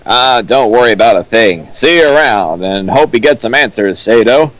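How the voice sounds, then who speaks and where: A man reading the lines of a calm, reassuring male fanfic character in a plain voice. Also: medium pitch, moderate pace, dry recording, lightly acted, low-quality recording.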